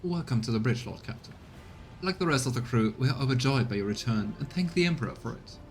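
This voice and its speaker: A man's voice: mid-range voice, animated, fast talker, close to an everyday voice. A man voicing a devoted, solemn game officer who addresses a revered lord in formal, flowery speech. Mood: solemn, reverent.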